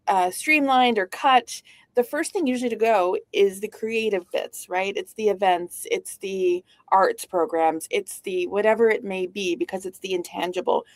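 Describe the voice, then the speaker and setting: A middle-aged woman from Canada talks in a loose, witty storytelling way, with lots of "like" and quick asides. Her voice sits at a medium pitch. The sound is dry and close, with little room around it. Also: dry recording. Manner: witty, storytelling, uses "like" often. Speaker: guest speaker, founder. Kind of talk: casual conversational interview.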